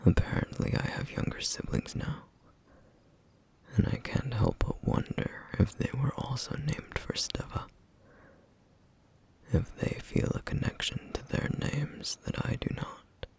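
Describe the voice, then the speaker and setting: Man voicing a whispering, gravelly, solemn flame demon. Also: medium pitch, huge pitch swings, exaggerated voice. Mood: wistful. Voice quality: breathy, whispery, rough, gravelly. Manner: slow, formal, reflective phrasing. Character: solemn, duty-bound male flame demon, gentle and wistful. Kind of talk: ASMR roleplay, intimate soft-spoken monologue.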